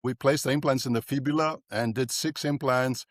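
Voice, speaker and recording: An older man from South Africa, a dentist guest in a podcast interview, talking in an animated way and often tagging his points with "right?". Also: mid-range voice, normal speed, no echo, clean studio sound.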